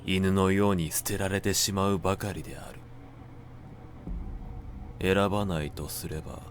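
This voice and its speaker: Man, lowish pitch, slow literary narration, measured phrasing, dramatic pauses, background ambient noise. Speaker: narrator reading literature aloud.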